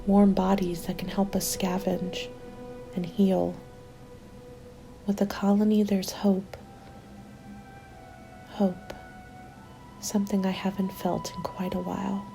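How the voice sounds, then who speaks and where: A woman playing a weary but hopeful zombie-apocalypse survivor reading out a winter journal, in a soft, breathy, intimate ASMR roleplay style that often slips toward a whisper. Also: mid-range voice. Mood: weary, wistful hope. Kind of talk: ASMR roleplay, scripted dramatic narration.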